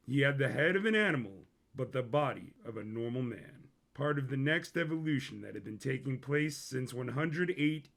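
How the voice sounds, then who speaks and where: A man reading a scripted story as a dramatic narrator. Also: medium pitch.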